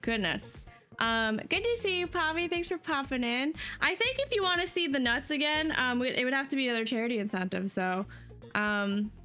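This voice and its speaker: Bright chatty streamer woman. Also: high voice, expressive, fairly quick, soft background music. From New Jersey, US. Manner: chatty, rambling with fillers and laughs. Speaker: livestreamer. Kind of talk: casual chat to a live stream chat.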